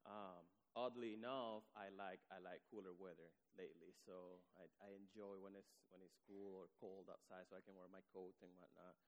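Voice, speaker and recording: A man from the Dominican Republic preaching to his congregation with a fairly low voice. He talks hesitantly, with many ums and restarts, and his voice carries the long reverb of a church hall. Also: steady tone, normal speed, hardly any pauses. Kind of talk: sermon. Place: church sanctuary.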